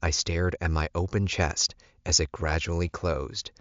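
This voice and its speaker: Male audiobook narrator for first-person fiction: deep pitch, very dry, slightly dull recording. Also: steady tone, unhurried, no echo.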